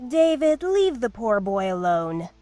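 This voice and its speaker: Woman acting high, lilting, gracious society mother. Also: sing-song, unhurried, dark tone, no room sound, soft background music. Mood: chiding. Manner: polite, coaxing. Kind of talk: visual novel game acting.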